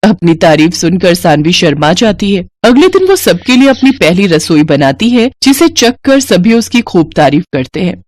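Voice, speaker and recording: A woman's voice: on the low side, very animated, moderate pace, few pauses, a little mellow, no room sound, clean studio sound. A woman narrator reading a scripted children's moral story.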